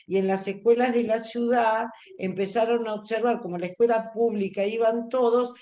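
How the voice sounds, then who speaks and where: A woman's voice: on the high side, steady tone, normal speed, dark tone. A woman teaching an online class, explaining at length and checking that students follow. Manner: explanatory, asks if understood.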